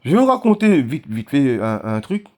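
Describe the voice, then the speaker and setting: A man's voice: mid-range voice, sing-song, darkish tone. Hesitant man with a mid-range voice. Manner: hesitant, halting, many fillers. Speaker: vlogger. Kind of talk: casual chat, telling a story.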